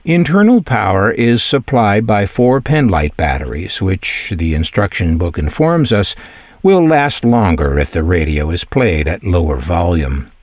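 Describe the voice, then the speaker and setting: Low-voiced man narrating, lively intonation. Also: unhurried, no room sound. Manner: wry, conversational asides. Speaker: presenter of vintage radio collection videos. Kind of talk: scripted narration, product showcase.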